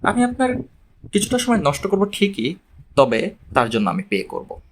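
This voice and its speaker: A man voicing a polite, self-assured male professor in an audiobook, measured and orderly in his speech. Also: high voice, huge pitch swings, heavily acted. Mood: composed, polite. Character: formal, self-assured male mathematics professor seeking a consultation.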